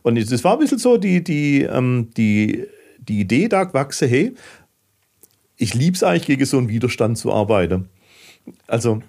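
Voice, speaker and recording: A man's voice: medium pitch, normal speed. Swabian-accented man telling stories casually. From southern Germany. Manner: fidgety, animated storyteller with dialect. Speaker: podcast guest, filmmaker. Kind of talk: casual conversational storytelling.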